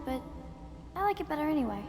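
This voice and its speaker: A little highborn girl in a video game. Her voice is high and childlike, and she talks politely and earnestly, with curiosity, over faint background noise. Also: sing-song, fast talker. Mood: subdued. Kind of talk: video game character acting.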